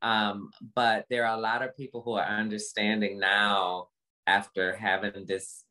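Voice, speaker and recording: A low-pitched woman speaks as a guest in an interview over a video call. She talks reflectively about personal experience, leaning on repetition and emphasis to land each point. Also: level tone, a little slow, sharp tone, rough recording.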